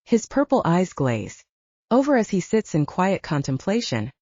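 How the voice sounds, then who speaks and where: A woman narrates a dramatic audiobook story in a mellow, slightly low voice. Her pitch moves expressively, and the recording is dry and a little dull. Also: very animated, moderate pace, husky, no echo. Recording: dull, lo-fi sound.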